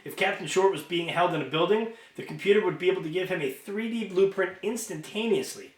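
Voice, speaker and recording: A man reading a novel aloud as an amateur narrator, expressive and informal, sometimes stumbling and correcting himself. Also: sits high, fairly quick. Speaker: amateur audiobook reader. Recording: roomy, echoing home recording.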